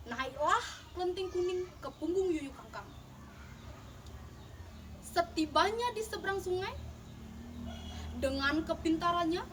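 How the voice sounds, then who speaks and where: A young woman telling a folktale in a theatrical, emphatic storytelling style, as if in a contest. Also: extremely high pitch. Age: young adult. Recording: distant mic, clearly noisy background.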